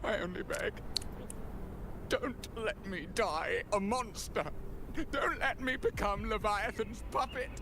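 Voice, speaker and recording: High-pitched, anguished doomed soul. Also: male voice, slow pace, background noise. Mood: anguished pleading. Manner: pleading, anguished, formal. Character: corrupted doomed man begging to die, crazed and despairing. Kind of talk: dramatic game voice acting. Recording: loud game ambience behind. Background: loud ambient game sound.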